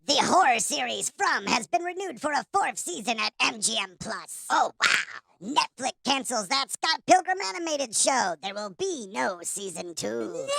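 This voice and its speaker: Gravelly, high-pitched woman announcing news. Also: heavy background noise. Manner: theatrical, exclamatory. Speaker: entertainment news segment presenter. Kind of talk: mock special report news announcing.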